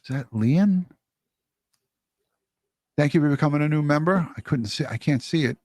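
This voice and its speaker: A man's voice: a little high, expressive, moderate pace, darkish tone, no echo, studio quality. A man co-hosting a livestream, reading out viewer messages and super chats in a casual, blunt way and swearing freely. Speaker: livestream co-host.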